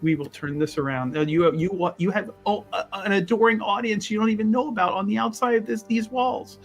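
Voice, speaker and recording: An eager, conspiratorial male mage as acted by a man in a tabletop game talks in a fairly high voice. He is effusive and wordy, piling on agreement, with faint music behind him. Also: brisk. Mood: eager, flattering.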